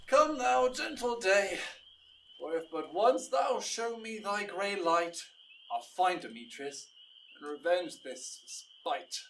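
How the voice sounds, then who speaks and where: Proud young suitor, male actor, high animated voice, impassioned verse declamation, slightly off mic. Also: slow, exaggerated voice. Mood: frustrated weary. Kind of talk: Shakespearean audio drama. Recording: distant mic, faint background noise.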